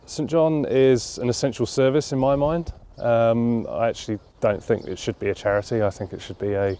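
A man, speaking informally and thoughtfully, with halting phrases, in an interview. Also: medium pitch, steady tone, warm tone, slight background noise. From London, England. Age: 30s. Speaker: interviewee, charity cyclist. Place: outdoors at a leafy campground.

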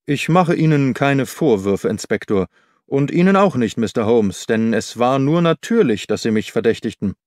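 A man voicing a weary, earnest and dignified gentleman in an audiobook, speaking in formal, measured phrases. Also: medium pitch, expressive, dry recording, studio quality, natural voice. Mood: earnest, pained. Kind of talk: audiobook character dialogue.

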